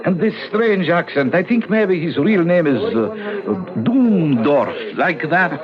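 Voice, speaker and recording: A man's voice: on the high side, very animated, slow. An actor playing an affable but slippery Mexican gunman in a radio drama, with a Mexican Spanish accent and breezy, florid phrasing. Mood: affable, probing. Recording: dull lo-fi radio, crowd behind.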